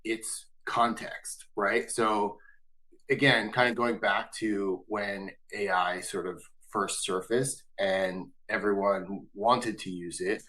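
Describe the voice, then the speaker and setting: A man's voice: fairly low pitch, steady tone, slow pace, sharp tone, low-quality recording. A man hosting a business webinar, asking long, loose questions full of fillers. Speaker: webinar host.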